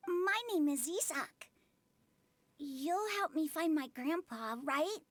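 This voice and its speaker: A woman's voice: high-pitched, expressive, slow pace, no echo. A woman voicing a small, earnest village boy in a video game, childlike and anxious. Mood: hopeful pleading.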